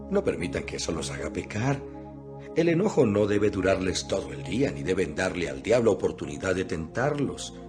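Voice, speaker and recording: A man giving an earnest, instructive dramatized reading of scripture as an audio Bible narrator. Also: on the low side. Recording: music behind the voice. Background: background music.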